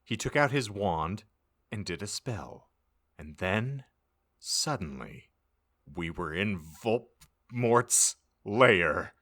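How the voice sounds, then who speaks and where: A man's voice: lowish voice, expressive, slow, fairly bright tone. A man reading fan fiction aloud as comic audiobook narration, theatrical and mock-serious. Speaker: podcast host reading an audiobook.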